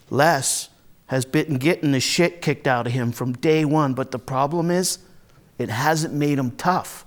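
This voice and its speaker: Guy acting as a rough neighborhood marine who drinks, swears a lot, halting story that can flare into anger, slightly high male voice. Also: close to an everyday voice. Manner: raw, profane, halting then bursting into anger. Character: volatile, hard-drinking, foul-mouthed Marine from a rough neighborhood, confessing. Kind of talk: first-person dramatic monologue, conversational storytelling.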